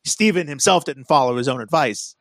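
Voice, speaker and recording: A man's voice: medium pitch, a little fast, a bit bright, no room sound. A man co-hosting a podcast, chatting casually about film. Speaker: podcast co-host.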